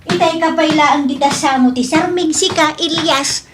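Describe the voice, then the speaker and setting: Woman playing a high-pitched nagging mother. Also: moderate pace, background noise, natural voice. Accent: Filipino. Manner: questioning, scolding. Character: nagging, sceptical mother of a grown son. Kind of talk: comedic radio drama acting.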